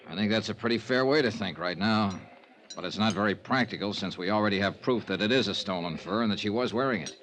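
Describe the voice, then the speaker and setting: A man's voice: on the low side, fast talker, a bit bright, lightly acted. A man playing a tough, wry insurance investigator in 1950s radio style, clipped, dry and sardonic. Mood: patient, probing. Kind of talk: 1950s radio detective acting. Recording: noisy vintage radio recording.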